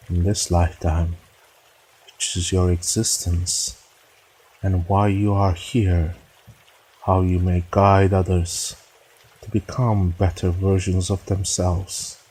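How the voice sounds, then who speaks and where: A man with a deep, husky voice guides a meditation. His delivery is very slow and solemn, broken into short phrases with pauses, as he gives measured instruction. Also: dark tone, rough recording.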